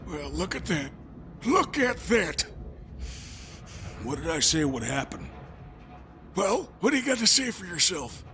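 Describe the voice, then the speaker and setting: A man's voice: sits high, very animated, unhurried, pauses often, very noisy, exaggerated voice. A man playing a cruel, bullying middle-aged country father in an audio drama, barking orders and folksy threats in a harsh voice. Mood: menacing.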